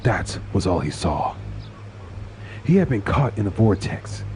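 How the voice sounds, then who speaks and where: A man with a deep, low voice narrates a dark tale in a dramatic, scripted style. Clear ambient noise runs behind his reading. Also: very animated, unhurried.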